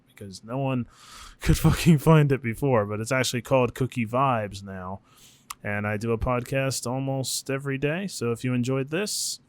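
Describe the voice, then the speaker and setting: A man co-hosting a podcast in an informal, musing, chatty way with the odd casual swear word. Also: medium pitch. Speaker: podcast co-host.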